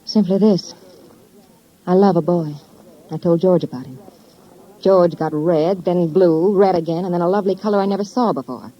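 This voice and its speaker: A woman's voice: on the low side, fairly even intonation, moderate pace, long pauses, close to an everyday voice. An actress playing a callous, bitter young woman suspect with an American accent, blunt and coolly mocking, in 1950s radio crime drama style. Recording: muffled vintage 1950s radio recording.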